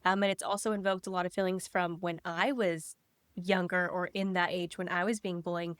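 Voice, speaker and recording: A young woman co-hosting a podcast, slightly raspy from a cold, talking earnestly and pushing back. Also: on the low side, level tone, fairly bright tone, dry recording. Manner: earnest, quick to push back. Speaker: co-host. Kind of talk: casual chat.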